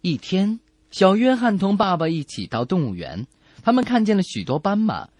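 Lively, dry-studio comedy radio co-host. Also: medium pitch, moderate pace, dry recording. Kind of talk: playful scripted joke narration.

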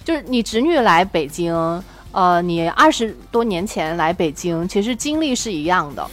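A woman's voice: lowish voice, very animated, normal speed. A woman co-hosting a podcast in casual, earnest conversation. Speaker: podcast co-host. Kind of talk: casual chat.

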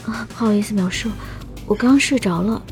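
A woman's voice: medium pitch, moderate pace, darkish tone, soft background music, close to an everyday voice. An actress playing a polite, earnest, determined young woman in a Chinese science-fiction radio drama. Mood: sleepy, polite.

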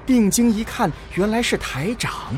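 A man's voice: high-pitched, expressive, normal speed, a little hiss or hum. A man narrating a science fiction radio drama in a dramatic scripted storytelling style.

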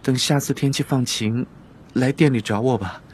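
An actor playing a shy, eager, soft-hearted young shop worker who speaks hesitantly in an audio drama. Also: male voice, mid-range voice, normal speed, slight background noise, lightly acted. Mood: shy, tender. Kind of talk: Chinese audio drama acting. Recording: faint music behind the voice.

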